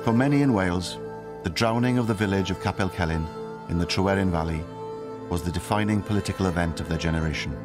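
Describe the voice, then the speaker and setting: Male documentary narrator, very low voice, measured scripted read over a music bed. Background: music score.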